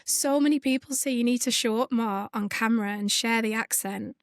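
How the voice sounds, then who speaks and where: Husky Yorkshire woman, higher voice. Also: a little fast, no room sound, clean studio sound. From Northern England. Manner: laughs often, self-deprecating. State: husky from overuse. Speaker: guest, events business founder. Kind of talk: casual podcast conversation.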